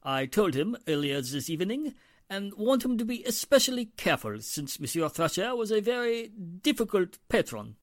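A man's voice: high voice, very animated, moderate pace, no echo, clean studio sound. A man playing a deferential, fussy Frenchman of a fancy restaurant in an old-time radio drama. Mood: anxious, deferential.

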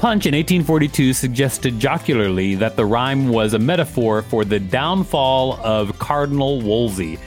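Guy reading an article out loud for a podcast, pitch moves around a lot, faint music behind. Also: animated, moderate pace, hardly any pauses. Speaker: podcast co-host and reader. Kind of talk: reading an encyclopedia article aloud with casual asides.

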